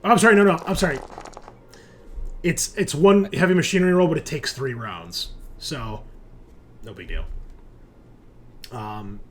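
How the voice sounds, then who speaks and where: A man's voice: fairly high pitch, measured pace, pauses often, slight background noise. A man narrating a tabletop roleplaying game as game master, improvising informally and addressing the players as "you".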